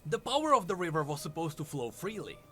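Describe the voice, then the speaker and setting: Animated male lore narrator, higher-pitched voice. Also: fast talker. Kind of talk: scripted lore storytelling narration. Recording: music under the narration. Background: background music.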